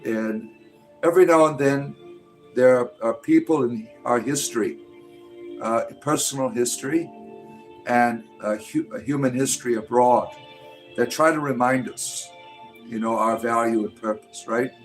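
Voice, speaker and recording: A man's voice: medium pitch, a little slow, faint music behind, low-quality recording. A man in his late forties hosting a livestream, rambling loosely and reading out viewer comments, often ending with "right?". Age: 40s. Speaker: livestream host.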